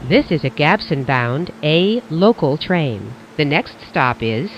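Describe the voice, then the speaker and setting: Transit announcer: measured, formulaic scripted delivery with animated pitch, dull recording over faint vehicle noise. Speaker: train announcer.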